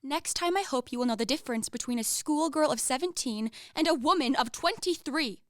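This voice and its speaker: A woman's voice: high voice, animated, brisk, dry recording, studio quality. A woman playing a proud, easily offended young lady of twenty-three in a radio comedy, precise and theatrical. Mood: indignant. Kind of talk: stage-comedy radio play acting.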